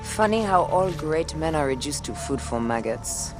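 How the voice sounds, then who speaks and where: Steadfast warrior woman, deep-toned, earnest game drama over loud music. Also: animated, normal speed. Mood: bitter. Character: steadfast, loyal warrior woman in queen's service. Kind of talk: cinematic game voice acting.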